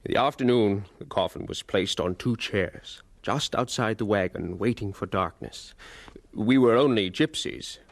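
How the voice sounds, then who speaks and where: Solemn reminiscing narrator, lowish voice, scripted radio drama, dry recording. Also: male voice, a little slow, dry recording.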